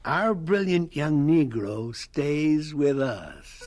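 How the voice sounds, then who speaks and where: An actor playing a shrewd, gruff college president in a radio drama, with a gravelly, husky voice and a deliberate manner. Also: male voice, on the high side, big pitch swings, heavily acted. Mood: pleased, reassuring.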